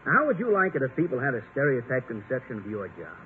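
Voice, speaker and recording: A man's voice: mid-range voice, very animated, fast talker, lightly acted. An actor playing a prickly, pedantic policeman in 1950s radio comedy, speaking formally and fussily, easily affronted. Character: prickly, pedantic policeman proud of his learning. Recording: tinny, noisy 1950 radio recording.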